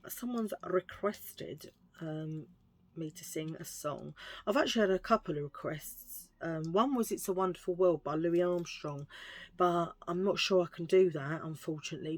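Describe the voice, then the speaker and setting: A middle-aged woman with a husky low voice, chatting in a rambling, self-mocking way while showing a thrift haul. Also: no room sound. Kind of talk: casual chatty haul video.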